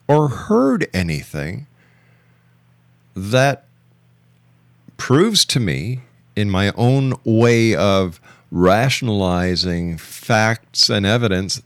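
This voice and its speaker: A man's voice: on the low side, very animated, slow, dry recording. A middle-aged man hosting a radio talk show, speaking insistently and emphatically and repeating phrases for effect. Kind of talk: radio interview with argumentative commentary.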